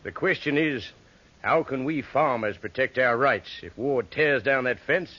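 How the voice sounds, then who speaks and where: Muffled vintage radio audio of a homesteader. He is an earnest, stubborn farmer with a rough, husky voice at middling pitch. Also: measured pace, sharp tone. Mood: wary, stubborn. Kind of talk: old-time radio western acting. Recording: muffled old radio recording.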